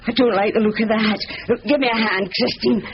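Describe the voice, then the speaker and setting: A woman's voice: a little high, steady tone, fairly quick, lightly acted. A woman acting as a nosy, middle-aged landlady in a 1970s radio play. She exclaims suspiciously and stretches her key words. The sound is dull, with a light hiss. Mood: suspicious, bossy. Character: nosy, suspicious landlady, wife of a former goalkeeper. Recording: dull, hissy old radio broadcast.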